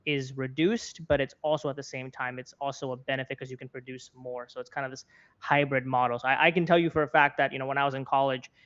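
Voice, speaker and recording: A young American man talks through his opinions as an interview guest. His voice is smooth and clear with a fairly flat melody, and he circles back to rephrase. The audio is slightly dull. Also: mid-range voice, fast talker. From Florida, United States. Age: 20s. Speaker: interview guest, content creator and journalist. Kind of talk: conversational interview commentary.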